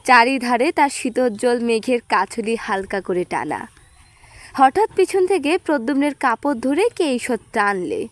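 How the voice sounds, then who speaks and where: A woman narrates a literary story in a distinctly high voice. The recording is dry and close, with her scripted reading at the centre. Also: expressive, moderate pace, dry recording. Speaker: audio story reader.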